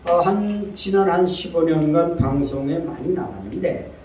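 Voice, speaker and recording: Older man from North Korea giving an emphatic audience talk, high-ish voice, far from the mic. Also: moderate pace, dark tone. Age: 60s. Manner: earnest, emphatic, with frequent fillers. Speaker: guest speaker. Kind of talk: lecture to a seated audience. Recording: echoey room, speaker off-mic with faint noise.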